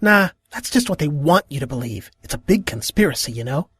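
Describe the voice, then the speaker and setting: Woman playing an animated, cheeky young guy. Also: low-pitched, fairly quick, slightly rough, dry recording, full character voice. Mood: earnest, naive. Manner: animated, slangy, mock-grand. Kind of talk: animated comic fandub acting.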